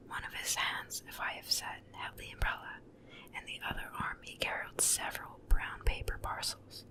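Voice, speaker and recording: A woman reads a bedtime story in a soft, breathy ASMR whisper, hushed and gentle, close to the microphone from ear to ear. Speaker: ASMR reader. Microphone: close ear-to-ear stereo microphone.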